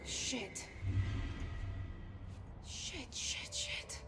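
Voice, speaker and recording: A woman playing a wary young outsider heroine in a game, introspective, dry and often hushed. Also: extremely slow, loud music behind the voice. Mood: uneasy.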